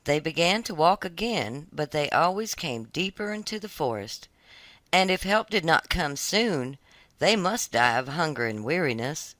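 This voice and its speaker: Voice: mid-range voice, lively intonation, measured pace, fairly bright tone, dry recording. A narrator reading a scripted fairy tale aloud for children, in audiobook style.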